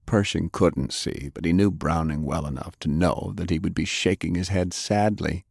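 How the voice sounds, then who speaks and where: Male narrator for fiction audiobook: low, husky, unhurried, dramatic scripted read. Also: darkish tone, no room sound, studio quality. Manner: measured, dramatic.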